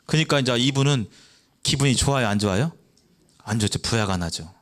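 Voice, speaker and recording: A young man delivers an evangelistic sermon in a speaking voice of middle pitch. He keeps asking the congregation questions and answers them himself, and at times he briefly acts out children in a high voice. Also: animated, brisk. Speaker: preacher.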